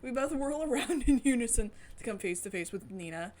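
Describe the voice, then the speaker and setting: A giggly, excitable woman streamer reading a visual novel aloud and gushing over it. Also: a little high, a little fast, a little hiss or hum. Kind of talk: reading game story aloud with gushing reactions.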